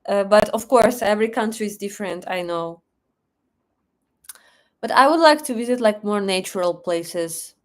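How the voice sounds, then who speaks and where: A woman's voice: medium pitch, moderate pace, long pauses. A young woman with a Russian accent hosting a live stream, chatting casually, reading chat questions aloud and thanking viewers for donations. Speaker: livestream host.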